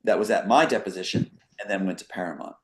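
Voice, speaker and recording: A man's voice: low voice, fast. Emphatic, rambling man telling a story. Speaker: talk show guest. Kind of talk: casual conspiracy monologue.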